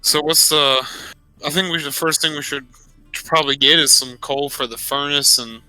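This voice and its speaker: A man with a slightly high, very bright voice plays a tabletop game, chatting casually. He sounds crisp, with faint music behind. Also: little pitch movement, moderate pace, no room sound, low-quality recording. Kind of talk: casual chat.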